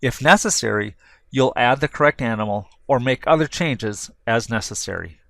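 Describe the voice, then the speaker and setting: A man's voice: medium pitch, fairly bright tone, no room sound. Man narrating a training video, dry studio sound. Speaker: instructional narrator.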